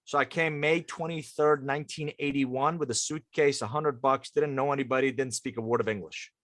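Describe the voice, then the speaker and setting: A man's voice: mid-range voice, fairly even intonation, fairly quick, a bit bright, dry recording. A man in his fifties from Iran, a native Persian speaker, talking conversationally and emphatically as an interview guest who coaches leaders. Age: 50s.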